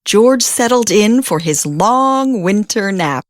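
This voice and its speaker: A woman reading a children's storybook aloud as an expressive narrator, with deliberate phrasing and drawn-out emphasis. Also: medium pitch, no echo, clean studio sound.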